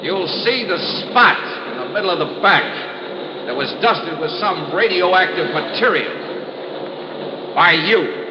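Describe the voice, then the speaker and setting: An actor playing a shrewd, wry insurance investigator who narrates and speaks with easygoing, halting fillers in a 1950s radio drama. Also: male voice, sits high, animated. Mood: confronting. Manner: easygoing, drawling, with hesitant fillers. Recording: old radio broadcast, roomy and hissy.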